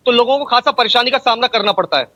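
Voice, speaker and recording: A man's voice: very high-pitched, lively intonation, quick pace, sharp tone. A man working as a field news reporter, doing a live report and street interviews.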